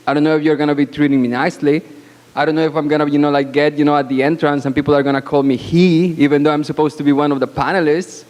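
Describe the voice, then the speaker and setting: A woman born abroad speaks as a panelist at a conference, with a loud voice and a frank, assertive, deliberate delivery broken by pauses, into a microphone in a banquet hall. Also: low voice. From born outside Canada. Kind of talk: conference speech. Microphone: microphone at podium. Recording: long hall reverb.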